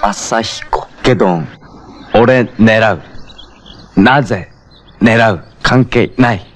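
An actor playing a wild young jungle-raised hero who speaks halting, broken phrases in a 1970s TV action drama. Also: male voice, fairly low pitch, background noise. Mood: halting, earnest. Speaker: actor in a TV superhero series.

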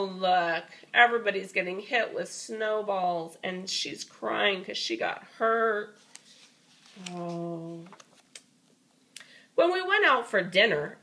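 A woman reading a storybook aloud to a child in an expressive, folksy, playful way with chatty asides. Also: lowish voice.

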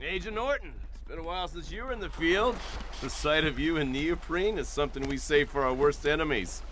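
An actor playing a cocky, abrasive federal agent in a video game, with a brash, sneering, bossy delivery. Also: male voice, on the high side, a little fast, fairly bright tone, a little hiss or hum, lightly acted. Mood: mocking. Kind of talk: video game dialogue acting.

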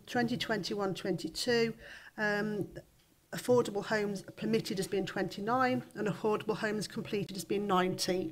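Woman officer with a cold, lots of ums and restarts, reading out a report at a council table mic, formal chamber. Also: medium pitch. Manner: hesitant, many ums and restarts. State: has a cold, coughing.

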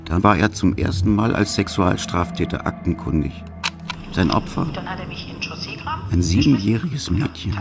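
A man's voice: low-pitched, animated, unhurried, creaky, music in the background. A man reading a scripted documentary narration as the narrator.

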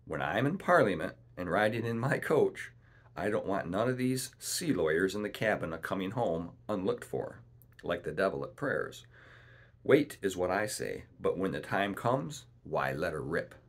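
A scheming, menacing pirate ship's cook as a male narrator plays him, husky and swinging widely in pitch. He talks in boastful, rustic seafaring turns, wheedling and bossing like a storybook villain. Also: very animated, moderate pace, heavily acted. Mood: coldly menacing.

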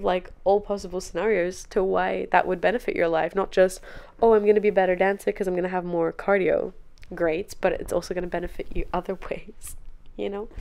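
A woman's voice: mid-range voice, darkish tone. A woman in her twenties chatting candidly and encouragingly, like a podcast host giving casual solo advice at home. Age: 20s. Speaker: podcast host and dance teacher. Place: living room sofa, indoors.

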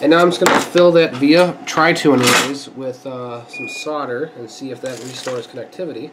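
A man's voice: medium pitch, normal speed, very noisy. Stuffy-sounding man chatting at his workbench. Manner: relaxed and chatty, thinking aloud while working. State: stuffy from a sinus infection. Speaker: repair channel host. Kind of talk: casual hands-on repair walkthrough. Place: indoor workbench.

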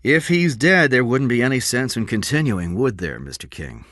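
A man's voice: mid-range voice, expressive, normal speed, fairly bright tone, dry recording, clean studio sound, voice pushed up, close to an everyday voice. A man voicing a frank, practical police lieutenant in an audiobook crime story, speaking in an emphatic, businesslike way.

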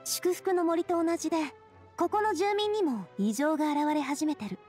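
A woman's voice: very high voice, moderate pace, music in the background. A woman playing a confident, cheerful young leader in an anime game, breezy and self-assured. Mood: matter-of-fact. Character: Navia, confident cheerful young woman, boss made fairytale king. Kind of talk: Japanese anime game voice acting.

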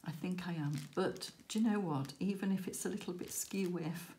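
Woman, croaky, tired, rambling chat, low mellow tone, faint background hiss. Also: moderate pace. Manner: rambling, self-deprecating, laughing often. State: croaky, tired after a late night. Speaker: crafting channel host. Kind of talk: chatty crafting vlog. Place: indoors at a crafting table.

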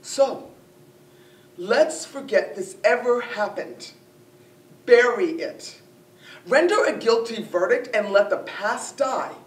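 A woman acting an earnest, resolute public speaker in a formal, emphatic stage monologue. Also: lively intonation. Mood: impassioned. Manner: deliberate, emphatic, oratorical. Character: earnest, resolute woman arguing to bury the past. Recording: echoey room, distant mic, rumbling noise.

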